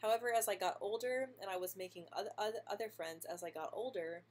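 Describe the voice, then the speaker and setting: A young woman who stutters, answering viewer questions in a casual live stream, speaking softly with frequent repeated sounds and light laughs. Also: mid-range voice, a little fast. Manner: soft-spoken, laughs lightly between answers.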